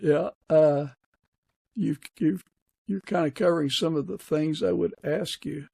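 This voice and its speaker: Male interviewer, slightly high and raspy, casual conversational podcast hosting. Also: unhurried, mellow, no room sound. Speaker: podcast host.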